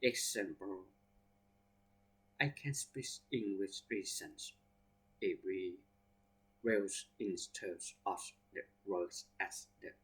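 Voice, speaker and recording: A man with a Thai accent, a native Thai speaker, teaching English vocabulary by reading example sentences slowly word by word and spelling out abbreviations letter by letter. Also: low voice, a bit bright, husky, poor audio. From Thailand.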